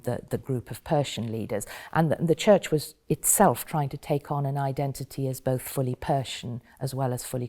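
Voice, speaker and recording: A woman in her fifties from Iran, a bishop and podcast guest, recounting her family story in a measured, thoughtful way with frequent ums. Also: rumbling low, mellow. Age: 50s. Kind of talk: reflective interview storytelling.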